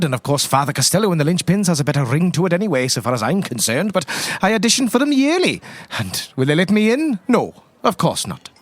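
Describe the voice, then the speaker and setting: Man acting Irish priest, sixties, vain, folksy, rambling, lively pitch, dry close recording. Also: no room sound. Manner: folksy, rambling, coaxing with tag questions.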